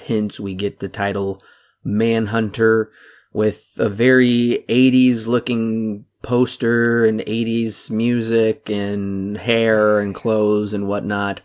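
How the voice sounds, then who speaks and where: A man's voice: on the low side, flat delivery, slow pace, a little mellow. A young man co-hosting a podcast in casual chat, talking steadily and reeling off dates and figures. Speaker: podcast co-host.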